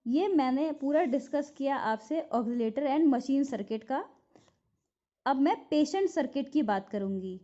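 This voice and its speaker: A woman's voice: sits high, animated, a little slow, mellow. A woman with an Indian accent giving an instructional lecture from notes, explaining and repeating key points to be memorized. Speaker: physiotherapy teacher. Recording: dull lo-fi recording.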